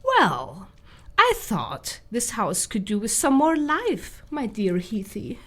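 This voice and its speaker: Woman doing a chatty gothic wife in a radio play, teasing and chiding, highish and very up and down. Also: huge pitch swings, slow pace, pushed and bright, heavily acted. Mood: teasing, coaxing. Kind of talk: radio drama acting.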